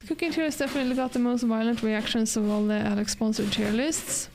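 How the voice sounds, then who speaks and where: A woman in her thirties with a raised, gravelly voice, rambling through a casual chat while gaming. She leans on "like" and laughs often. A faint hiss sits under the recording. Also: a little high, fairly even intonation, fast, a little mellow, rough recording. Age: 30s. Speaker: streamer.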